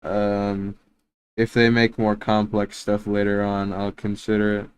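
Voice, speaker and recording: A man hosts a reaction video with casual, unscripted commentary. His voice is fairly low, very smooth and clear. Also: monotone, measured pace. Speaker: reaction video host, YouTuber.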